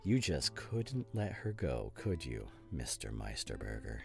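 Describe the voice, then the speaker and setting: A man playing a smug amateur detective, delivering a grandiose, deliberate monologue with dramatic pauses. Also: very deep voice, sing-song, vocal fry, loud music behind the voice, exaggerated voice. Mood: triumphant, teasing. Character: smug, theatrical male amateur detective unmasking a culprit. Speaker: voice actor in an audio roleplay. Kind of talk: theatrical scripted ASMR roleplay monologue.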